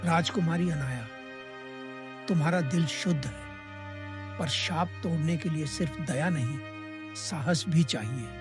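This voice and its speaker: A man playing a solemn old hermit sage in a cartoon story, with a husky voice. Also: fairly high pitch, music in the background, natural voice. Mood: solemn, guiding. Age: older. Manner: slow, solemn pronouncements. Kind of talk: Hindi animated moral story dialogue.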